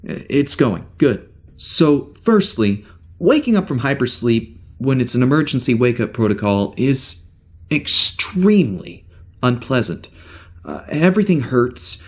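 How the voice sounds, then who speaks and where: Male actor as uneasy amnesiac ship's officer: medium pitch, hesitant, wry, rambling log entries for sci-fi audio drama. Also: very animated, a little slow, darkish tone, husky. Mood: uncomfortable, wry. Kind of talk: spoken log in science fiction audio drama.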